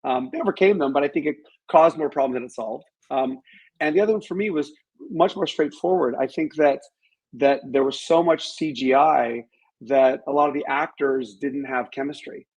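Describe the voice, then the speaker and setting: A man speaking as an interview guest in a measured, halting way, thinking aloud and qualifying his opinions. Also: mid-range voice, a little mellow, husky, highs cut off. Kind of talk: reflective interview conversation about films.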